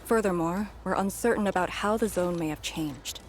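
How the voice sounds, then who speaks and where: A woman voicing a grave, resolute veteran leader in a video game, speaking in a measured, solemn way. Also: lowish voice, faint music behind, natural voice. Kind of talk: scripted video game dialogue.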